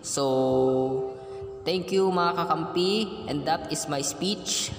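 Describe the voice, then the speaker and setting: A man with a Filipino accent giving a thank-you speech as a company chief, halting and hesitant with frequent fillers. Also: on the high side. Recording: echoey hall with music behind.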